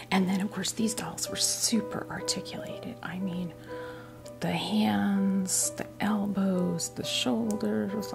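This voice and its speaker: A woman hosting an ASMR doll unboxing, chatting casually and softly to camera, gushing over small details in an unhurried way. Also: medium pitch, vocal fry.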